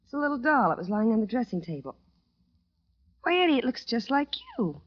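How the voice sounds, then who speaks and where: An actress playing a caring, concerned wife in an old radio drama, with a solicitous, soothing manner. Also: female voice, a little high, big pitch swings, a little fast. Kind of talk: 1940s-50s radio drama acting. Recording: dull old radio recording.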